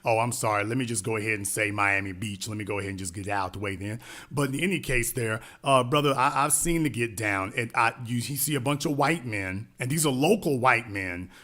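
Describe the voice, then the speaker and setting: A man's voice: mid-range voice, brisk, sharp tone. A man hosting a live call-in radio show, talking in a blunt, emphatic, slangy way.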